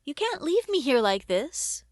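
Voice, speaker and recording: A woman's voice: high-pitched, sing-song, measured pace, no room sound, studio quality, pitched above the performer's own voice. A woman voicing a general's living severed head in a storybook, pleading and bossy, with urgent exclamations. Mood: desperate pleading.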